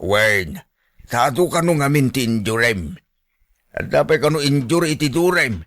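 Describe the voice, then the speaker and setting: A man's voice: medium pitch, expressive, unhurried, a bit bright. A man playing a stern but caring, devout father in an Ilocano radio drama, admonishing emphatically with an Ilocano accent. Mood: concerned.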